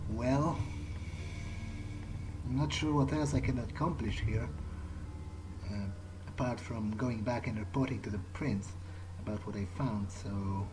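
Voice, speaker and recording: A man's voice: mid-range voice, a little slow, noisy background. A man improvising in character as he plays a video game, talking hesitantly to himself and swearing freely. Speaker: let's player. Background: game soundtrack music.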